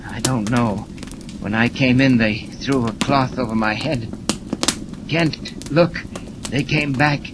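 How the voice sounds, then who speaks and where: An actor playing a dazed male scientist, groggy and halting, in a 1940s radio serial. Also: mid-range voice, lightly acted. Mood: dazed, alarmed. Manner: weak, halting. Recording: noisy old radio transcription.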